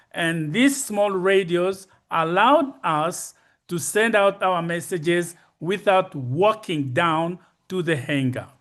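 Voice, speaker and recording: Slow, high-pitched older Congolese man. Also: a bit bright. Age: 60s or older. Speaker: conference presenter. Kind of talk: slide presentation.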